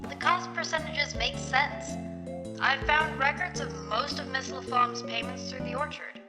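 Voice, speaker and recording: A woman's voice: high-pitched, steady tone, measured pace. A woman playing a businesslike female agency analyst with a formal, precise, dry delivery in an audio drama. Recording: long hall reverb under loud music. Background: music.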